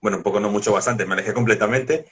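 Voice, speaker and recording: A man, a guest evangelist, telling his story in a relaxed, good-humored way. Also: lowish voice, rapid-fire. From Colombia. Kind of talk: personal testimony in conversation.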